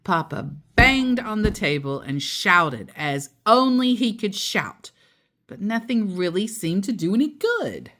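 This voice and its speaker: A woman storyteller reading a children's picture book aloud expressively, drawing out key words and adding playful asides. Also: mid-range voice. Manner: animated, drawn-out emphasis with playful asides.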